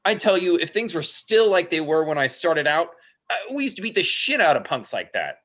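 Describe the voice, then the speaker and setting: An actor playing a hot-tempered older investigator, aggrieved and grumbling, in a screenplay table read. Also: male voice, fairly high pitch, sing-song, moderate pace, fairly bright tone. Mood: indignant.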